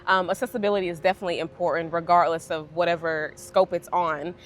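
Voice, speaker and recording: A woman's voice: on the low side, steady tone, quick pace, soft background music. Upbeat woman hosting a food interview. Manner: affirming, upbeat interviewer with frequent "um". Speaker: food review show host.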